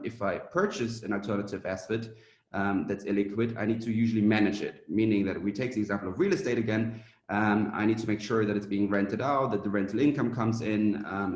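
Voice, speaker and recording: A young German man giving a business conference presentation as a startup founder, pausing often with hesitant fillers. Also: lowish voice, roomy sound.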